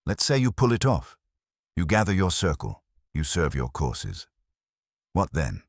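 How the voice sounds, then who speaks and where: Guy playing a wisecracking detective in a mystery story, deadpan, rly deep voice, dry sound. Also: measured pace, vocal fry, no room sound, heavily acted. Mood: dry, challenging. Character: wry, wisecracking male private detective.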